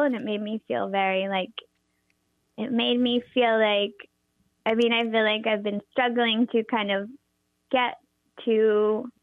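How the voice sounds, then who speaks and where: A young woman with a high voice, a performer and teacher, talking in an interview with lots of hesitant fillers and restarts. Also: no echo. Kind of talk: interview conversation. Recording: tinny phone-like line, dry.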